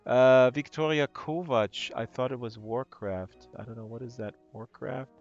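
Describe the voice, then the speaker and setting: A man with a hoarse voice giving casual, excitable live-stream commentary, rambling and gushing with amazement. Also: mid-range voice, a little slow. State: hoarse from talking all day. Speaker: live streamer, virtual world tour guide. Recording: dull lo-fi stream audio with music underneath. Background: music playing.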